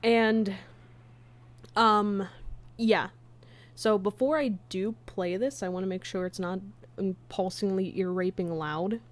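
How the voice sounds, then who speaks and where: A woman's voice: mid-range voice, steady tone, measured pace, long pauses. Chatty woman streamer with a casual delivery. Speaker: streamer, fan comic dub creator. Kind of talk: casual livestream chat.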